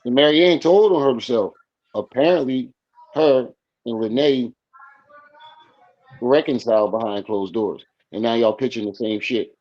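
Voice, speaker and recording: A man's voice: medium pitch, a little slow, pauses often, lo-fi sound. A man co-hosting a live stream, chatting casually and restarting his sentences often. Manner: rambling, many restarts. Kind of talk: casual commentary chat.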